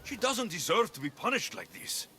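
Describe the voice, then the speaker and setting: Bold mercenary, lively intonation. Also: male voice, sits high, normal speed, faint music behind, exaggerated voice. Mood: challenging. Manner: wry, direct. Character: bold, protective, wry ancient Greek mercenary man. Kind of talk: video game character acting.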